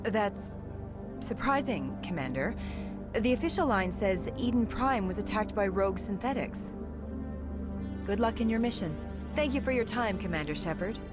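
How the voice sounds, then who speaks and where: A woman's voice: medium pitch, animated, normal speed, natural voice. Woman as a pointed TV reporter, music behind. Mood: cool, formal. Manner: polished, pointed, insinuating. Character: probing, confrontational female TV news reporter. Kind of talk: video game voice acting.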